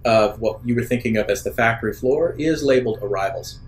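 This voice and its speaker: A man's voice: medium pitch, fairly quick. Man running a tabletop game, noisy recording. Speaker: game master. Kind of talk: improvised tabletop game narration and table talk. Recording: noisy room ambience.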